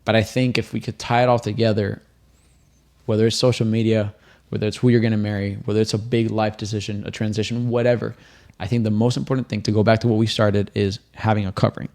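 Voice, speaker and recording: Emphatic man, animated. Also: fairly low pitch. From Puerto Rico. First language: Spanish. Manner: emphatic, animated, quick to laugh. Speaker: podcast co-host. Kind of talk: casual faith-based podcast chat.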